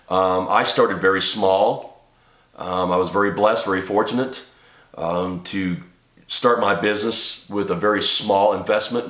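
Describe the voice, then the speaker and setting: Deep-voiced, congested man talking to camera. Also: a bit bright, reverberant. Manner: rambling, many ums and restarts, earnest. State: congested from a cold. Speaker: channel host and small business owner. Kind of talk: casual talk to camera. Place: home office.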